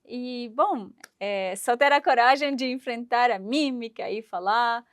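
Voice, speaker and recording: A woman in her thirties from Syria, speaking in a fairly high voice. She tells her story with animation, acting out the voices of the people in it. The sound is dry and close. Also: measured pace, no room sound. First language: Arabic. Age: 30s. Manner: animated, acts out quoted voices, laughs while telling. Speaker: guest. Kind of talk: storytelling interview.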